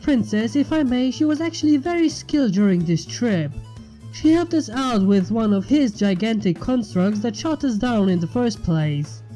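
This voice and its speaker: A man's voice: extremely high pitch, animated, moderate pace, mellow, rough recording. A man voicing a polite female pony with an earnest, deferential manner. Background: background music.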